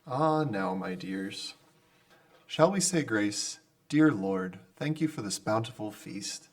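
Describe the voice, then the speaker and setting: A man playing a gentle, pious, kindly father in an old-time radio drama. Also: fairly low pitch, lively intonation, slow pace. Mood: warm, reverent.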